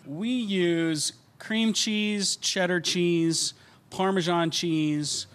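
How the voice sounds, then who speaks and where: A man's voice: high voice, drawn-out words, fairly bright tone. A man, a chef, answering casually and listing ingredients in a matter-of-fact way.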